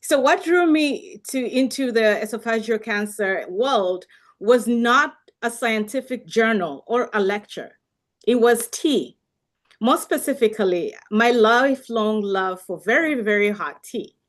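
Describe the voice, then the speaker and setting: A woman's voice: on the high side, animated, measured pace. A woman from Uganda giving formal remarks over a video call, earnest, with frequent fillers and restarts. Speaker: health communication speaker.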